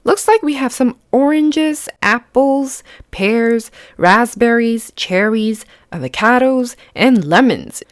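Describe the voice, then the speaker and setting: A woman's voice: fairly high pitch, animated, slow pace, hardly any pauses, no echo, clean studio sound. A woman reading a picture book aloud to children, explaining simply and putting questions to the listener. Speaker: children's read-aloud host.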